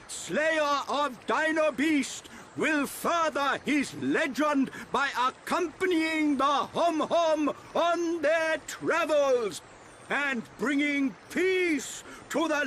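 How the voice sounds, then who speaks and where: Pompous, high-pitched old creature chief. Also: male voice, bright, forceful tone, slight background noise, heavily acted. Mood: grandiose, commanding. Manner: grandiose, formal, deliberate proclamations. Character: elderly male furry village chief, pompous, wily, kindly. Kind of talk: theatrical video game acting.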